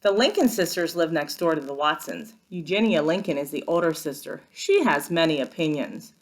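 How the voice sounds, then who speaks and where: Expressive woman teacher reading to children. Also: low voice, normal speed. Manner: expressive, instructive storytelling. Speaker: first-grade teacher. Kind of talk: read-aloud lesson for young children.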